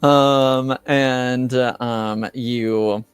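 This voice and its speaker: A man narrates a tabletop game as game master in a smooth, low voice. He goes slowly and hesitantly, pausing often as he improvises. The sound is very dry and close, with music behind. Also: fairly even intonation, no echo. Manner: hesitant, halting, many pauses.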